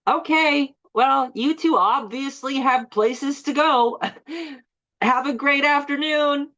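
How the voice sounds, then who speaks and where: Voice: high voice, takes it slowly, pushed and bright, dull audio, heavily acted. An actor playing a bitter, just-fired male park tour guide in a dramatic monologue, chatty and passive-aggressive as he delivers a mock-informative tour speech. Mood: agitated, forced cheer.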